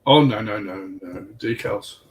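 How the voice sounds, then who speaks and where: A man's voice: on the low side, unhurried. A man chatting casually as a guest hobbyist, often repeating words as he explains, recorded at some distance from the mic. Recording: distant mic with faint background noise.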